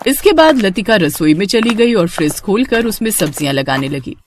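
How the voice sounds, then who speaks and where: A woman's voice: mid-range voice, sing-song, moderate pace, slight background noise. A woman narrating a scripted moral story for children.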